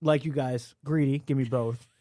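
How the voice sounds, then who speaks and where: A man's voice: medium pitch, steady tone, normal speed, warm tone, no echo, studio quality. A man hosting a casual TV panel discussion in a conversational way. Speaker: host leading a group discussion. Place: TV studio.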